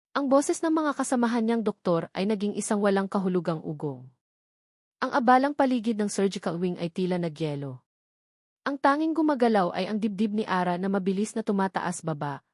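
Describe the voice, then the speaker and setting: A woman's voice: mid-range voice, darkish tone, dry recording, clean studio sound. A woman reading a dramatic scripted story as an audiobook narrator, with an emotive storytelling delivery.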